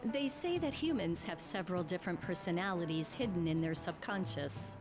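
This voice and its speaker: A woman's voice: fairly low pitch, animated, fairly quick. A woman playing a downbeat school teacher in a video game, casual and matter-of-fact. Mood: musing. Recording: music behind the voice.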